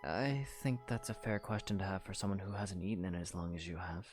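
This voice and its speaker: Man playing a young hero, very low voice, calm, even, reassuring, audio drama, faint music behind. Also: a little fast, natural voice. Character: young male hero, calm and quietly caring. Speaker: voice actor. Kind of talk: fan audio drama acting.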